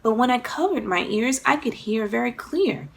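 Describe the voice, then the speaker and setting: A woman voicing an earnest, excitable little girl in a children's storybook, with emphatic delivery. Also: a little high, moderate pace, gravelly, pitched above the performer's own voice. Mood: wondering. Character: earnest, excitable young girls, little sisters.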